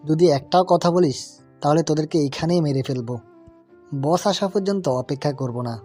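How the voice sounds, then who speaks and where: A man's voice: on the high side, normal speed, mellow, soft background music, close to an everyday voice. A man playing a gang henchman in an audio story. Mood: menacing. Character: male gang henchman, threatening, cowardly when cornered. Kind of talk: Bengali audio story acting.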